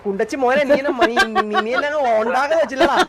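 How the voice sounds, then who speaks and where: A man's voice: very high voice, rapid-fire, over a noisy crowd. A man bantering casually as he plays an online roleplay game.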